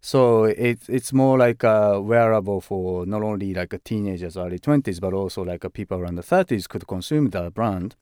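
A man speaking as a fashion director in a conversational interview, halting and full of "like" and "uh" fillers, often restarting words. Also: lowish voice, a little mellow, clear, resonant tone, no echo.

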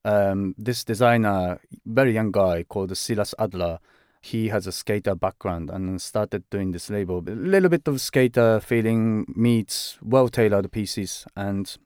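A man speaking as a fashion director in a conversational interview, halting and full of "like" and "uh" fillers, often restarting words. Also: fairly low pitch, a little mellow, clear, resonant tone, dry recording, studio quality.

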